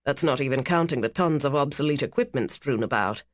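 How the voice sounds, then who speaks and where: Woman putting on a big jolly navy commander, loud and wry, dry booming voice. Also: brisk, no room sound. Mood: wry, joking. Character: big, cheerful British naval commander, man.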